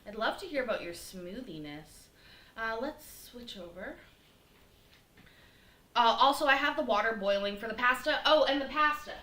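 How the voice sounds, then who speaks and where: A middle-aged woman with a bright, fairly high voice chats away as she cooks, rambling between fillers and greeting viewers by name. Her home kitchen gives the sound a roomy echo with a faint hiss underneath. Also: lively intonation, moderate pace, long pauses. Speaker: livestream cooking show host. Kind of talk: casual livestream chat while cooking. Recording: echoey kitchen, faint noise.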